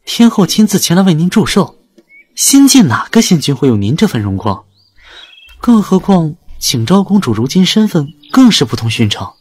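A dutiful young disciple in a fantasy audio drama. The voice sits rather high and moves in wide swings of pitch, always earnest, formal and respectful. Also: male voice, sing-song, moderate pace, darkish tone, slightly rough. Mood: puzzled.

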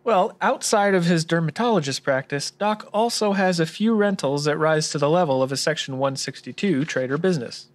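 A man's voice: on the high side, brisk, hardly any pauses, natural voice. A man playing an earnest, polite tax expert in a scripted noir radio drama, speaking precisely and courteously. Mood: matter-of-fact. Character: earnest by-the-book male tax expert, polite detective type.

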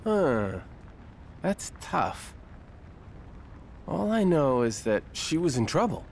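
Young male hero, high voice, lively intonation, earnest, confident, lightly wry, fantasy game. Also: takes it slowly, creaky, slight background noise, exaggerated voice. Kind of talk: animated fantasy game voice acting.

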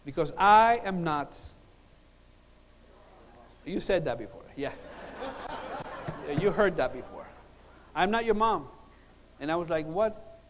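A middle-aged man from Puerto Rico, preaching with humorous stories, emphatic pauses and repetition. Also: on the high side, expressive, noisy background. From Puerto Rico, born in Cuba. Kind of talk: sermon with humorous personal stories. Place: large conference hall, on stage at a lectern. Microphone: lapel mic. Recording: reverberant hall sound system.

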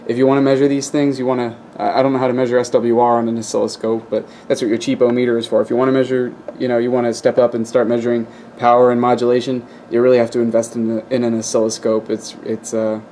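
Male hobbyist host, lowish pitch, monotone, casual and rambling explainer, faint room noise behind. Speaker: video host, radio hobbyist. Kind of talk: casual unscripted demonstration, explaining. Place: indoors at a wooden workbench.

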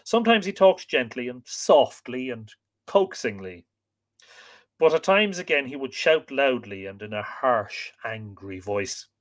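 A man's voice: mid-range voice, lively intonation, unhurried, lightly acted. A man reading the lines of a wild young boy raised by a deer, telling his sorrowful tale.

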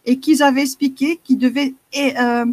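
A woman's voice: high-pitched, level tone, moderate pace, darkish tone, no echo. A woman in her sixties with a Belgian French accent, talking earnestly and rambling a bit as a caller on a live video call. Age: 60s. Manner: earnest, rambling, repeats herself. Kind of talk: casual chat on a video call.

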